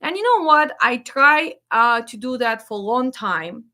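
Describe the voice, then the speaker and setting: A woman's voice: a little high, lively intonation, measured pace, sharp tone. A woman from Ukraine with a Ukrainian accent, a trucking dispatch trainer speaking directly and firmly as she promotes her webinars. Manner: direct, firm and blunt.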